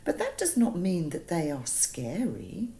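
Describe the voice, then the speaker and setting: A woman voicing a kindly, reassuring lady strawberry in a storybook, soft, gentle and soothing. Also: on the low side, wildly swooping pitch, measured pace, exaggerated voice.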